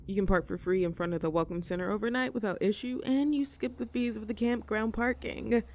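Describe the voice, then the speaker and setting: A woman's voice: medium pitch, fairly quick, darkish tone, lightly acted. A woman playing a young, outdoorsy tomboy friend in an audio roleplay, chatting casually in an upbeat, caring and reassuring way. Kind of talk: roleplay audio acting, casual intimate chat.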